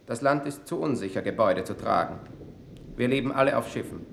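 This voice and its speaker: Gravelly, blunt settler envoy. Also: male voice, medium pitch, animated, a little fast, fairly bright tone. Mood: stoic, blunt. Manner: terse, matter-of-fact, unyielding. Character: stoic, blunt male envoy of hardy Venus settlers. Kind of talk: 1960s radio drama. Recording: echoey, noisy old radio drama.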